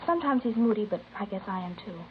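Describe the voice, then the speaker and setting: A woman playing a guarded, tentative teenage high school girl in a naturalistic 1950s radio drama. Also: lively intonation, fast talker, dull audio, close to an everyday voice. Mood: guarded, casual. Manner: tentative, plain-spoken. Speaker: actor playing a witness. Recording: thin, noisy old radio recording.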